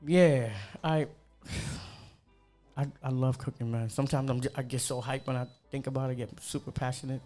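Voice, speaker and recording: A young man from New York, a cook, chatting casually and animatedly with slang and quick asides. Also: medium pitch, fairly even intonation, mellow, faint music behind. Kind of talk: casual chat.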